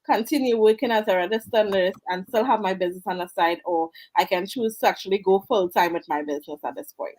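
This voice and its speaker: A woman from Trinidad and Tobago with a Trinidadian accent, speaking on a video call as an interview guest, earnest and warm and quick to laugh. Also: mid-range voice, low-quality recording. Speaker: guest, online business mentor. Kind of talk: casual interview.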